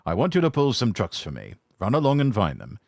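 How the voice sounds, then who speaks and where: A man playing a stern, low railway boss. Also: huge pitch swings, creaky, darkish tone, no room sound, studio quality, heavily acted. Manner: measured, stern. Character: stout, stern but kind male railway boss.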